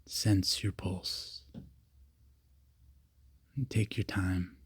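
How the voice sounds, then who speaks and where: A man leading a guided meditation, giving calm, simple, repeated instructions with long pauses between them. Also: deep voice, slightly rough, dry recording. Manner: calm, repetitive, unhurried cues with long pauses.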